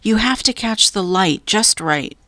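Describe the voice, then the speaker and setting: A woman's voice: mid-range voice, animated, unhurried, sharp tone, no room sound, clean studio sound, voice pushed up. A woman voicing an eager, curious young girl in a children's storybook, with an urging manner. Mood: coaxing.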